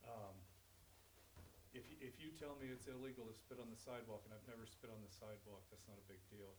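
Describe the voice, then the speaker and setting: A man's voice: lowish voice, fairly even intonation, a little fast, background noise. A man giving a blunt, argumentative public comment at a village meeting, with some restarts. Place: village council meeting room. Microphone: speaking without a mic, picked up by a room mic.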